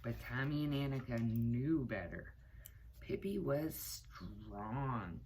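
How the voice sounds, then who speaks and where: A middle-aged woman reading a children's picture book aloud, pausing for asides to her young listeners. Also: a bass voice, animated, warm tone, a little hiss or hum. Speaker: children's story time reader, teaching assistant. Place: small cluttered room indoors.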